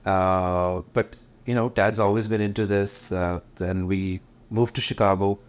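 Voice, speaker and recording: A man's voice: deep voice, unhurried, smooth. A middle-aged man raised in the USA, a Gujarati native speaker and event promoter, chatting in an interview and switching between English and Hindi with easy fillers. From USA, born in India. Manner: relaxed, mixes English with Hindi, frequent fillers and light laughs. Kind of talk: casual interview conversation.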